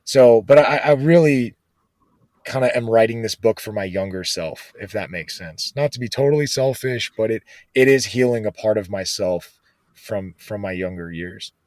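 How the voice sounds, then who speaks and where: A man's voice: on the low side, normal speed, darkish tone, dry recording. A man being interviewed as a guest author, talking casually and earnestly with many fillers like "you know".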